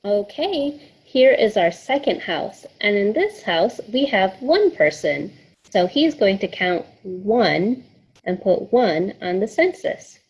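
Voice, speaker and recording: Female children's storyteller, unhurried and animated, slightly breathy or husky tone, speaking directly to kids. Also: medium pitch, low-quality recording. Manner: sing-song, encouraging, addresses children directly. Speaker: children's story time host reading aloud. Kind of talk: picture book read-aloud for children.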